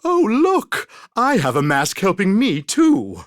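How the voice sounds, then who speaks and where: A man's voice: high voice, huge pitch swings, takes it slowly, no echo, clean studio sound, exaggerated voice. A man voicing a pompous, scheming, exasperated mad scientist villain in a theatrical video game style.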